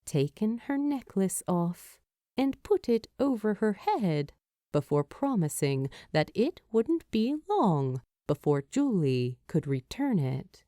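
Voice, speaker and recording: A woman narrates fiction at an unhurried pace. Her voice is mellow and moves through a lively range of pitch. The recording is dry and close. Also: no room sound. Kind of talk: expressive scripted story narration.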